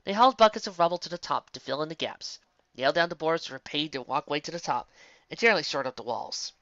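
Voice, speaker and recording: A woman's voice: sits low, expressive, fast talker, a bit bright, no room sound. A woman narrating scripted fantasy fiction as a story reader, on a slightly dull recording.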